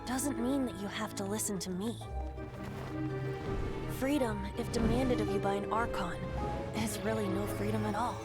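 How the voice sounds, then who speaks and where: A woman voicing a youthful, boyish wind god in an anime game cutscene, calm, earnest and lyrical. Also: mid-range voice, moderate pace, long pauses, loud music behind the voice, natural voice. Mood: gently earnest.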